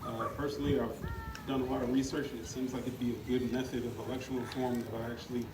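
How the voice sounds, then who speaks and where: A man's voice: mid-range voice, level tone, fast. A man in an audience asking a question in a casual, hesitant way. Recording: distant, echoey, noisy event sound.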